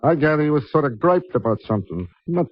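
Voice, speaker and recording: A man's voice: fairly high pitch, animated, fast talker. An actor playing an easygoing, plain-spoken male barkeep in a 1950s radio drama, slangy and offhand. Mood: offhand, chatty. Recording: muffled old radio broadcast.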